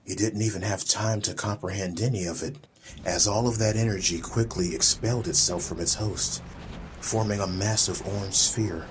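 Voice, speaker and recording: A man narrating a fantasy audiobook in a dramatic, measured, literary storytelling style. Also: lowish voice, steady tone, slight background noise, low-quality recording. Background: music.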